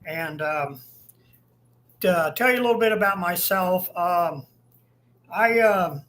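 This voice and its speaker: A man in his sixties from Ohio tells his story in a folksy, plainspoken way. His voice sits high for a man, and he talks in halting bits over a video call. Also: fairly bright tone. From Ohio, USA. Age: 60s. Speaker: guest.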